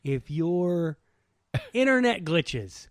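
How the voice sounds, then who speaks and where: A man with a somewhat high voice and very animated intonation, recorded dry. He chats casually as a podcast co-host, playful and teasing, prone to exclaiming. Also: very slow, no room sound. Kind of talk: casual banter.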